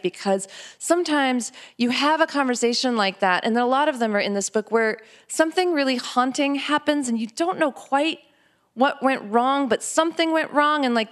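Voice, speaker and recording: A woman with an American accent, an author being interviewed, telling personal stories in an animated, conversational way. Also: on the high side. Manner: animated, quotes dialogue as she goes.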